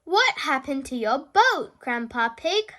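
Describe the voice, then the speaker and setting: Jolly elderly rabbit boat-mender for a picture book, voiced by a woman: high, hearty, swooping. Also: sing-song, slow, sharp tone, full character voice. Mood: boisterous greeting. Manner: bellowing, boisterous, hearty. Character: jolly old rabbit grandfather, nautical boatyard mender.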